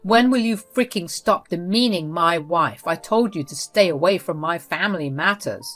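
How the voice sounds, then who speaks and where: A woman voicing an angry husband who loudly and indignantly defends his wife. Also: fairly low pitch, lively intonation, moderate pace, faint music behind.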